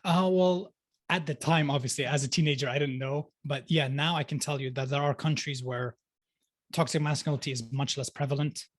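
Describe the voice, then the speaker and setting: A man's voice: mid-range voice, fairly even intonation, a little fast, dry recording. Chatty young man, dry close sound. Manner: chatty, fills talk with "like", laughs easily. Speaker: podcast guest, sociologist and life coach. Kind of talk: casual interview chat.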